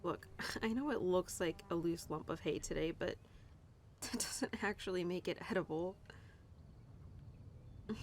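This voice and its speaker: Woman playing a downhearted young cowgirl, noisy rumbly recording. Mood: weary, gently amused. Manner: halting, casual, sighing, talking softly to an animal. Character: upset young cowgirl, tender and self-deprecating, talking to her horse. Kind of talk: intimate audio roleplay, naturalistic acting. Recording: clear background noise with low rumble.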